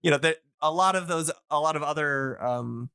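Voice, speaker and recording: A man in a casual explanatory podcast chat, talking in a hesitant, halting way as he thinks aloud. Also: fairly high pitch, vocal fry, bright, forceful tone, a little hiss or hum.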